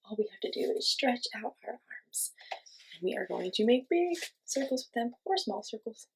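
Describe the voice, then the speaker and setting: A woman teaching young children, giving simple encouraging instructions and asking questions, with pauses for answers. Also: fairly high pitch, sing-song, creaky, slightly rough. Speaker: children's class teacher and host. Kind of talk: children's lesson with guided movement.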